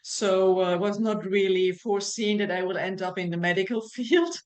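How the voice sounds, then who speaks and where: A woman's voice: mid-range voice, steady tone, moderate pace, darkish tone. A middle-aged woman from Germany with a German accent, a naturopath guest speaking in a casual interview with frequent fillers and restarts. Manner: hesitant, many fillers and restarts.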